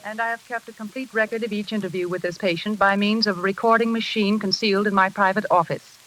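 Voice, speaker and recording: A man's voice: sits high, fairly even intonation, fast, bright, forceful tone, a little hiss or hum, poor audio. A man playing a formal psychiatrist dictating a case record precisely, in 1940s radio style. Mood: clinical.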